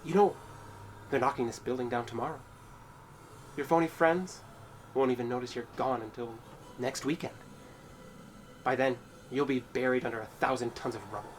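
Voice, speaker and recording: A man's voice: mid-range voice, moderate pace, long pauses, slight background noise, natural voice. An actor playing a resentful, unhinged young man in a horror audio drama, with an accusatory, menacing manner. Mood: angry, threatening.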